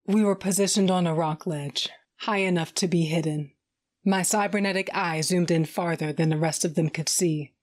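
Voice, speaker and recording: A woman's voice: on the low side, fairly even intonation, normal speed, darkish tone, lightly acted. A woman voicing a tough, sardonic fighter with a cybernetic eye who narrates in the first person, blunt and profane with dry humor. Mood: gruff, wry.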